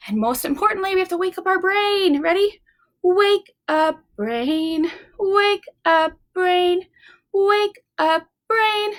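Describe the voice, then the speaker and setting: Woman, high-pitched, slow-paced, children's librarian, animated, encouraging, simple repeated phrases. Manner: encouraging, animated, addressing young children directly. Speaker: children's librarian hosting story time. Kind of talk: children's story time with chants, songs and simple explanations.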